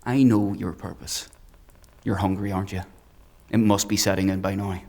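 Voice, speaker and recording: An actor playing a coldly controlled, menacing healer turned interrogator in an audio drama, with a measured, gravelly delivery. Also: male voice, low-pitched. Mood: calm menace. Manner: measured, calmly threatening.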